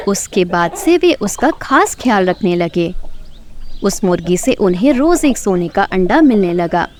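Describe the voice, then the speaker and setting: Expressive woman storyteller. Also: mid-range voice. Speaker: storyteller, channel host. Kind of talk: scripted children's story narration.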